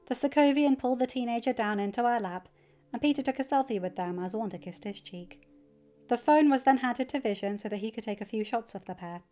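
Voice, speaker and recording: A woman's voice: on the high side, a little fast, warm tone, highs cut off. A woman reading scripted story narration as the narrator of a fan fiction audiobook.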